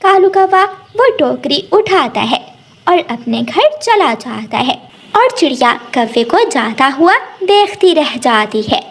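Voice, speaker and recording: A woman's voice: high voice, big pitch swings, takes it slowly. A woman narrating a scripted children's story.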